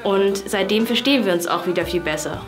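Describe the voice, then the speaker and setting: A woman who grew up speaking Vietnamese tells a personal story in a calm, reflective way. Her voice sits at a middle pitch, and music plays clearly in the background. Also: brisk. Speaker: interviewed friend.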